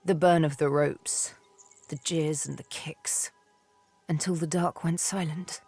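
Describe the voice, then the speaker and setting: An actress playing a haunted, wistful young elven woman in a video game, telling her tale in deliberate, poetic, theatrical phrases. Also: low voice, fairly even intonation, darkish tone, breathy edge, soft background music, exaggerated voice. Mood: haunted, pained. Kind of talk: dramatic video game dialogue, intimate storytelling.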